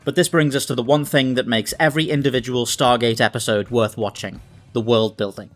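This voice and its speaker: Guy narrating a video essay, mid pitch, articulate and analytical, music behind. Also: fairly even intonation, a little fast. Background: background music.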